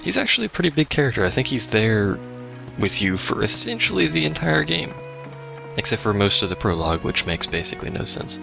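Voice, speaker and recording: A man's voice: mid-range voice, sing-song, brisk, creaky. A man giving casual, sarcastic commentary as he plays a video game. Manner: sarcastic, wry. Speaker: let's play host. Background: game music.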